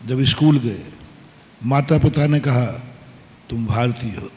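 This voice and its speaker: Slow, echoing preaching monk. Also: male voice, sits high, darkish tone. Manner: slow, emphatic preaching with rhetorical questions and repeated maxims. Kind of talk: sermon. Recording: long hall reverb.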